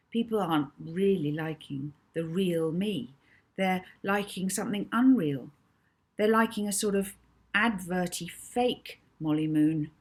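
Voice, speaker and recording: A woman's voice: mid-range voice, a little mellow, husky. A woman voicing a troubled, self-doubting young girl in a children's audiobook, speaking in a hesitant, emphatic, confiding way. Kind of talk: children's audiobook character dialogue.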